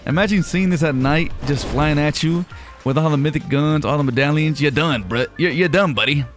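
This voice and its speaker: A man's voice: a little high, brisk, soft background music. A young man hosting a gaming video, giving casual gameplay commentary in a chatty, slangy, informal way. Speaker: gaming video host and streamer.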